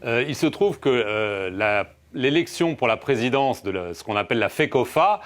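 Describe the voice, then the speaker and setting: A man's voice: mid-range voice, expressive, moderate pace. A male journalist asking formal, polite interview questions with many fillers.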